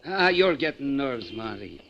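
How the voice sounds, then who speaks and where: A man's voice: mid-range voice, big pitch swings, a little slow, a bit bright. A man with a working-class American accent playing a reassuring, streetwise police partner in old-time radio drama. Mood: reassuring, emphatic. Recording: dull vintage radio recording, faint noise.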